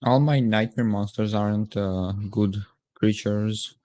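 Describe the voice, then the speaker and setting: A man's voice: fairly low pitch, steady tone, slow pace, warm tone, no echo, studio quality. A man casually sharing personal experience as a participant in a group discussion.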